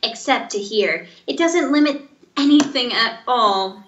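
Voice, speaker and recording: Lady doing a snooty dismissive online commenter, highish bright voice, echoey room. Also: a little slow, dull audio. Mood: condescending. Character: condescending, dismissive deaf-pride internet commenter.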